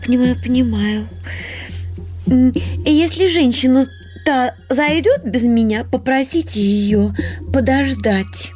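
Childlike, beaming old lady, unhurried. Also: sits high, a little mellow, faint music behind. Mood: beaming, proud. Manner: gleeful, childish, slightly babyish speech. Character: beaming childlike elderly wife, confused and sweet, hospital patient. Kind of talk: radio drama acting.

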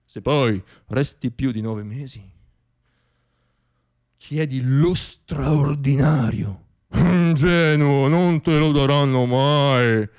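Male monologist, thirties, high voice, theatrical comic storytelling with dramatic shifts. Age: 30s. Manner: dramatic delivery, shifting between hushed asides and declamation. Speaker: stage monologist.

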